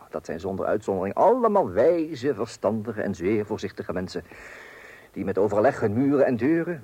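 A man's voice: fairly low pitch, darkish tone, slightly rough. An actor playing a fussy, bossy middle-aged husband and father in a radio comedy, muttering and stammering. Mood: wry narration. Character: flustered, bossy husband and father, hunting a burglar at night. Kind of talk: radio comedy play acting and narration. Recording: noisy, clicky old radio play recording.

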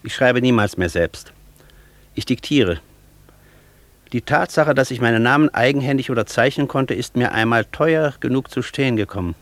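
An actor playing an aloof, world-weary old Faust who speaks in sententious, disdainful aphorisms in a German radio play. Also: male voice, mid-range voice, animated, no echo, natural voice. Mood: brusque, dismissive. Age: older. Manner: sententious, disdainful, deliberately simple.

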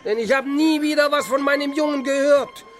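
A high-pitched, ranting old peasant father. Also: steady tone, fairly quick, soft background music. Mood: aggrieved, lamenting. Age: older. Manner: ranting, scolding. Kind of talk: German radio play acting.

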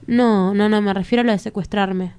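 An actress plays a cool young heiress held captive in an audio drama. Her voice is soft-toned and very clear, with an Argentine accent and a wry, composed delivery. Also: mid-range voice, steady tone, brisk, natural voice. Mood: wry disbelief. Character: sceptical, cool young rich kidnapped woman.

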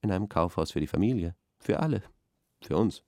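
Deep-voiced, pompous male intellectual. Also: lively intonation, brisk, mellow, no echo, clean studio sound. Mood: earnest, pompous. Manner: pompous, academic, earnest analysis. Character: earnest, pompous male intellectual expert on advertising. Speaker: expert guest on a radio discussion. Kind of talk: radio play acting as an interview.

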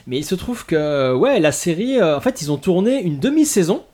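A man's voice: high-pitched, big pitch swings, moderate pace, a little mellow. A man from France hosting a casual podcast chat, hesitant with many fillers and restarts. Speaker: podcast host.

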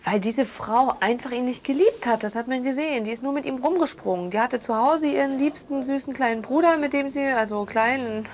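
An actress playing a bitter, scornful daughter in a naturalistic audio drama, emphatic and accusatory in long run-on phrases. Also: female voice, high voice, brisk, hardly any pauses, slight background noise, lightly acted. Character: bitter, scornful daughter condemning her father's lover.

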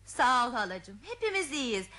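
A polite, curious young wife in an old radio play, high-voiced and lively in intonation as she asks gentle questions. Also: moderate pace, heavily acted. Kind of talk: 1970s Turkish radio drama acting.

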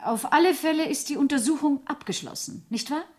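An actress playing a nervous, secretive young French mademoiselle, hesitant and probing with feigned casualness, in a 1970s German radio play. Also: female voice, sits high, brisk, close to an everyday voice. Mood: nervous, guarded.

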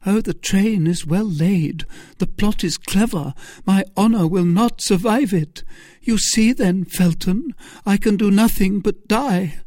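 A desperate noblewoman of the storybook kind, acted out by a man, pleading with melodrama and cunning. The voice is pitched well up and unhurried. Every word comes through clean and imploring. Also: a little mellow, dry recording, clean studio sound. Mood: anguished, dramatic. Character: desperate, pleading, scheming noblewoman, a captive temptress.

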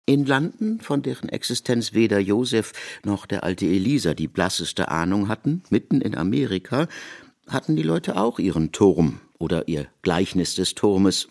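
Low-voiced man narrating literary prose. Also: big pitch swings, creaky, darkish tone. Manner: measured, formal storytelling. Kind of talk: scripted literary narration for radio drama.

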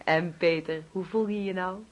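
An actress in a radio drama playing a doting, controlling mother who fusses and coaxes with sweet insistence in a husky voice. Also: lowish voice, fairly even intonation, moderate pace, muffled recording, lightly acted. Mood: fussy, coaxing. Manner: coaxing, fussing, overbearing.

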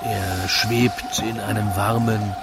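Slow male narrator over loud music. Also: fairly low pitch, level tone. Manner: measured, deliberate storytelling. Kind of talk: scripted rhymed radio play narration.

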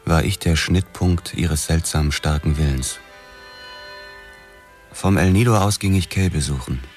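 Very deep-voiced brooding noir detective. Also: male voice, a little slow, darkish tone, soft background music. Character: brooding, obsessed male police detective telling his own story. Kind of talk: scripted noir first-person narration.

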